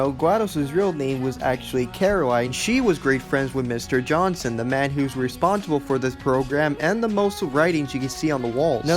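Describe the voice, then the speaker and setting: A man hosting a video essay, reading scripted countdown narration in wordy, awkward phrasing. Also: on the high side.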